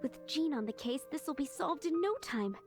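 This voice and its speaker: A woman's voice: sits high, animated, normal speed. A woman playing a polite, earnest townswoman in an anime video game. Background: music.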